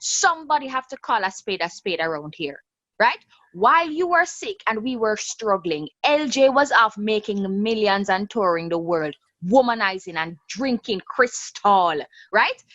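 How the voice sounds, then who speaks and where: A woman's voice: mid-range voice, lively intonation, a little slow, fairly bright tone, no room sound, muffled recording. A woman playing a bitter, angry grown daughter in a radio drama, mocking and confrontational. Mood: furious.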